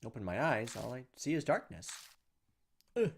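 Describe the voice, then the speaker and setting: A man reading a visual novel aloud in a let's play, expressive and playful, with asides. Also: medium pitch, normal speed, creaky, slight background noise.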